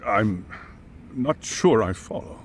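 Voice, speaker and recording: A man's voice: on the low side, animated, slow, a little hiss or hum. An actor playing a formal, guarded school chairman in a video game dub, hesitant and evasive. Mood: flustered. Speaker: head of a school.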